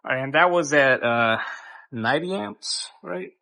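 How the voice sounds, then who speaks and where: A man's voice: fairly high pitch, animated, measured pace, vocal fry, bright, forceful tone. A man giving a casual, unscripted DIY build walkthrough in a laid-back, plain-spoken, sweary way. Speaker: host of a DIY car build channel. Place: garage workshop.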